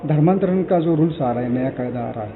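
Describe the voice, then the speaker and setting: A man's voice: fairly high pitch, quick pace. A man working as a reporter, putting questions politely and a little hesitantly, addressing the speaker as sir. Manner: deferential, hesitant, opens with "sir". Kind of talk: asking interview questions. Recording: tinny, phone-like with noise.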